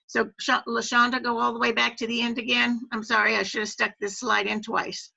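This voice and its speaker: Woman doing a step by step training on a video call, crisp forward voice, kinda dull lo-fi sound. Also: fairly high pitch, level tone, a little fast, sharp tone. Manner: methodical, step-by-step explaining. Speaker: presenter training club officers. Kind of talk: instructional walkthrough on a web call. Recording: dull, lo-fi web call audio.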